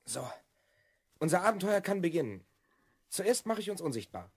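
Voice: medium pitch, big pitch swings, moderate pace, background noise, lightly acted. An actor voicing an earnest, slightly bossy twelve-year-old boy time traveller in a German children's audio drama. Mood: instructing. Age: child figure, twelve.

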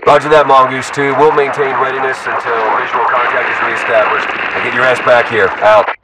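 A man playing a steady soldier who reports in terse, matter-of-fact military chatter through a radio filter. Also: mid-range voice, fairly quick. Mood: businesslike. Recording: noisy, distant military radio transmission.

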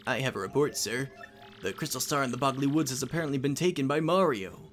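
A man's voice: mid-range voice, quick pace, a bit bright, faint music behind, natural voice. A man voicing a dutiful, deferential henchman reporting to his master in a video game.

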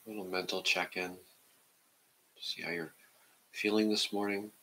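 A middle-aged man calmly guiding a meditation in a gentle, measured way. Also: deep voice, a little hiss or hum, poor audio. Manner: calm, measured. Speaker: meditation guide. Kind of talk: gentle guided meditation and reading aloud. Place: indoor room at home, seated on the floor.

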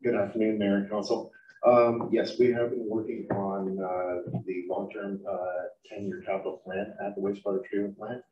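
A man's voice: lowish voice, little pitch movement, normal speed. A man speaking as a staff member, giving a formal report to a council meeting. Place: large meeting room, indoors. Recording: distant, dull, echoey meeting room audio.

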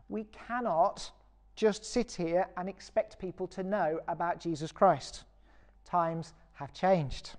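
A British woman vicar giving a recorded sermon in a measured, earnest and encouraging way. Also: medium pitch, warm tone. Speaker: parish vicar preaching.

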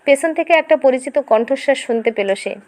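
Woman, high-pitched voice, story narrator, scripted dramatic reading, expressive and emotive delivery. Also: brisk.

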